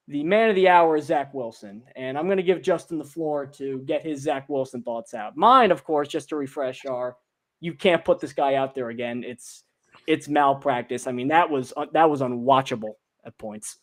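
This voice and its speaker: A man hosting a sports podcast, giving blunt opinions and reading viewer comments from chat aloud. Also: a little high. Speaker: podcast co-host.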